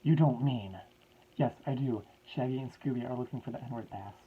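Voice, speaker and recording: A man's voice: medium pitch, moderate pace, phone-quality sound, a little hiss or hum, close to an everyday voice. A man voicing a grieving middle-aged male scientist who pleads in a raised, strained voice.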